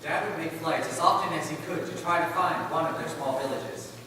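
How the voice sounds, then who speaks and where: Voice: medium pitch, fairly quick, natural voice. A stage performer as an earnest young son of a missionary pilot, narrating and pleading in a theatrical reading. The voice sits far from the mic in a cavernous, noisy hall. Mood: earnest, reflective. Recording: very echoey hall from afar, noisy.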